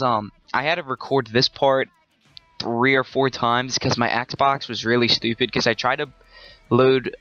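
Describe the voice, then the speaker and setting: Bright-voiced man rambling over gameplay. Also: medium pitch, no room sound, highs cut off. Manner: rambling, with frequent self-corrections. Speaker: gaming channel host. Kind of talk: casual gameplay commentary.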